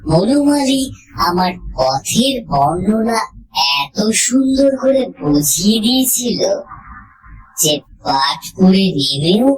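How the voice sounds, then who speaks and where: A woman reading a scripted first-person horror story, in measured, deliberate phrases with pauses. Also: mid-range voice, very animated, low-quality recording. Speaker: audio story narrator.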